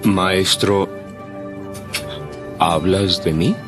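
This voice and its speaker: Guy voicing a sly greedy traitor, lower and quieter, kinda far away. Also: steady tone, drawn-out words, over music. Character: sly grasping adult male traitor.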